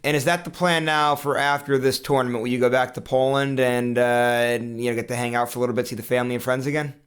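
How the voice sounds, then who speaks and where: A man's voice: medium pitch, level tone, fast talker, smooth. A man hosting an interview, asking long, rambling questions full of fillers. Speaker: interview host.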